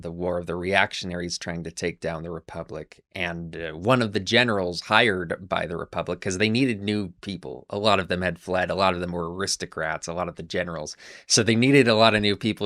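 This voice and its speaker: Male history narrator, deep voice, relaxed conversational delivery, loose unscripted pacing. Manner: conversational, frequent fillers and restarts. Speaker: history channel host. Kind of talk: casual history lecture.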